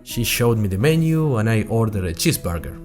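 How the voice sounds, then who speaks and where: Young male student journalist played by a male actor, animated and wry, chatty podcast storytelling with music underneath. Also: on the low side, brisk. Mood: chatty, curious. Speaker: fictional podcast host and narrator. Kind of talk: scripted first-person audio drama narration in chatty podcast form.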